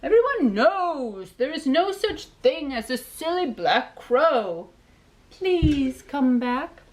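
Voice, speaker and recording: A woman's voice: high voice, big pitch swings, slow pace, voice pushed up, full character voice, low-quality recording. Woman reading as a bossy scolding crow. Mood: pleading. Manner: scolding, insistent. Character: stern bossy grown-up crow.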